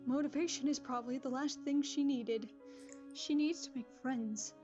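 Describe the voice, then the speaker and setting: A woman playing a gentle, concerned pony princess and wise mentor, speaking in a measured, formal, kindly way for an animated audio drama. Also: high-pitched, lightly acted. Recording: slightly dull audio, faint music.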